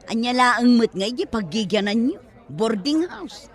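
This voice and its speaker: A woman's voice: a little high, sing-song, measured pace. A woman acting a chatty grown daughter in a family comedy audio drama. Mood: bickering. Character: chatty adult daughter of a retiring teacher.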